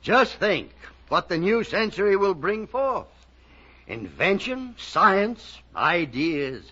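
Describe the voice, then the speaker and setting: Genteel 1890s suitor, excitable, forceful bright tone, 1940s radio sketch style, dull vintage recording. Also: male voice, sits high, slow pace, full character voice. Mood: excited.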